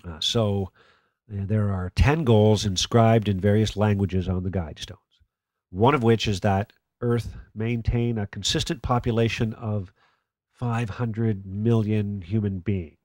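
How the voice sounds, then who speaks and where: An actor playing a skeptical, sardonic late-night call-in radio host in a radio play, with a dry, measured manner. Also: male voice, fairly low pitch, steady tone, darkish tone, no echo, close to an everyday voice. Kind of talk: radio play acting as talk radio.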